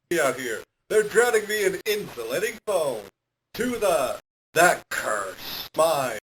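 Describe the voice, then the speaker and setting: A man's voice: on the high side, slight background noise, rough recording. Man doing a manic glitchy puppet salesman. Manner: erratic, jumping from pleading to shouting.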